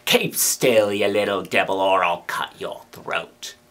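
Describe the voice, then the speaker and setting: Man acting a menacing, rough escaped convict. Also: deep voice, measured pace, fairly bright tone, pitched below the performer's own voice. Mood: fierce menace. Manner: threatening, rough demands. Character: terrible growling escaped convict, menacing, hungry adult man.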